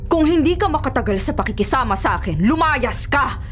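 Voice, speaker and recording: Ruthless Filipino businesswoman, radio drama villainess, lively swings in pitch, music underneath. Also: fairly quick. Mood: defiant anger. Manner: haughty, defiant, dismissive. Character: cunning, arrogant, ruthless businesswoman and wife.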